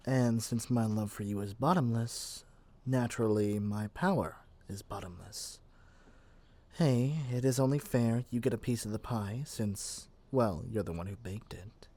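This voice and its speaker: A man's voice: on the low side, unhurried, mellow. A man playing a lovestruck, possessive young male witch in an ASMR roleplay, chatty and gushing with flustered hesitations. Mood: tender, devoted. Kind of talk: ASMR roleplay addressed to the listener.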